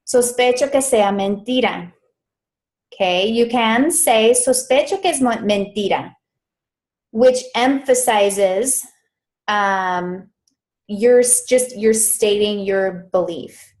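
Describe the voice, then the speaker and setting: A woman's voice: medium pitch, slow pace, darkish tone. A woman teaching grammar in a live online session, speaking into a computer mic. She is chatty and casual, often stops to correct herself and laughs off small mishaps. Her voice sits in an ordinary middle range. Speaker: Spanish interpreting coach.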